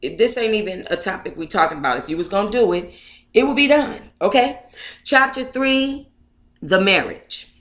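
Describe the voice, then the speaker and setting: An American woman with a mid-pitched voice, recorded in an echoey space. She hosts a book club livestream, moving between casual chat and reading aloud. Her way of talking is sassy and colloquial. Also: animated, normal speed, fairly bright tone. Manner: sassy, colloquial, addresses listeners as honey and baby. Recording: echoey, roomy sound.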